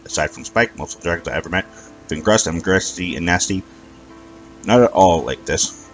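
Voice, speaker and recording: Shy storybook mare, read by a man, polite and hesitant, low voice over faint music. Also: lively intonation, moderate pace. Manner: timid, hesitant, apologetic. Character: shy, gentle, polite young female pony.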